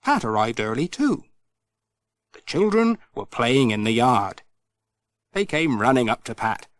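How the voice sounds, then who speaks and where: A male audiobook narrator reads a children's story expressively. His mid-range voice swings widely and animatedly in pitch, and the recording is close and dry. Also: very animated, unhurried, no room sound.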